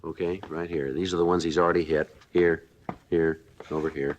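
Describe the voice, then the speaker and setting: An actor playing a terse, deadpan police sergeant who also narrates the case, in a 1950s radio drama. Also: male voice, a bass voice, normal speed, muffled recording, a little hiss or hum. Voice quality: flat, clipped, matter-of-fact delivery. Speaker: detective narrator.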